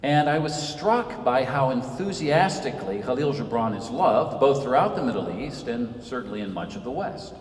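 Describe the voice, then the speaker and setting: A man in his fifties gives an earnest lecture from a podium, his even-pitched voice carrying through a long church reverb. The mic is some distance away and a faint hiss sits under him. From Senegal. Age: 50s. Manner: measured, earnest, rises and falls for emphasis. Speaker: guest speaker, author. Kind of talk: public lecture. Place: large church sanctuary. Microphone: podium mic, recorded from far off.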